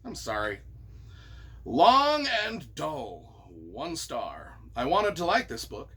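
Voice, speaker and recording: A man's voice: medium pitch, wildly swooping pitch, takes it slowly, pushed and bright, breathy edge. A man reading reviews aloud and reacting off the cuff as their author, with a theatrical, emphatic, mock-exasperated delivery.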